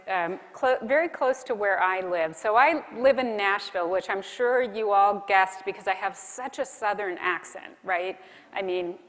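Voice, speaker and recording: A woman giving a keynote presentation to a conference room, explaining things deliberately with emphatic pauses. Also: medium pitch. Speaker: keynote speaker. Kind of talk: conference presentation on marketing to a live audience. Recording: reverberant hall sound.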